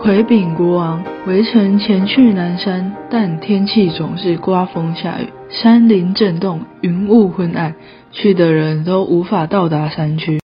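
A woman's voice: lowish voice, fairly even intonation, a little slow, lightly acted. A young woman with a Taiwanese Mandarin accent playing a deferential court minister in a student radio drama, speaking formally. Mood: reporting. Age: young adult. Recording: dull lo-fi audio under music.

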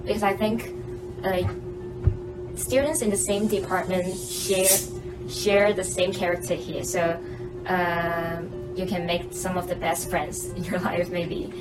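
A woman's voice: lowish voice, little pitch movement, measured pace. A young adult woman, a student guest who chats easily and laughs often. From Hong Kong. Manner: chatty, laughs often, hesitant fillers. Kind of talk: podcast interview. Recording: distant mic with loud music bed.

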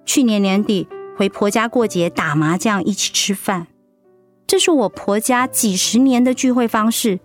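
An actress playing a hurt, outspoken wife recovering from cancer in an emotional, plaintive audio drama performance. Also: female voice, mid-range voice, normal speed, faint music behind, close to an everyday voice. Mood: weary, aggrieved.

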